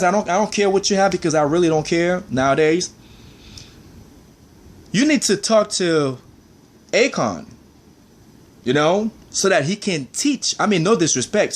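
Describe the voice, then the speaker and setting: A man ranting in a heated, preachy monologue, peppered with "man" and "I mean". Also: fairly high pitch.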